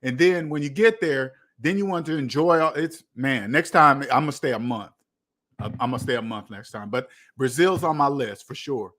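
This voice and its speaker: A man's voice: medium pitch, normal speed, fairly bright tone. An American man hosting a casual livestream, chatting with viewers in a rambling way and repeating phrases for emphasis. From United States. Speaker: livestream host. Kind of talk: casual livestream chat, answering viewer comments.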